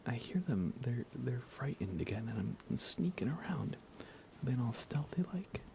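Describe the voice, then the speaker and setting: A soft-spoken man chatting casually on an ASMR gaming livestream, rambling unhurriedly and often slipping toward a whisper. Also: medium pitch, big pitch swings, moderate pace, gravelly, noisy background. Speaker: livestreamer playing a video game.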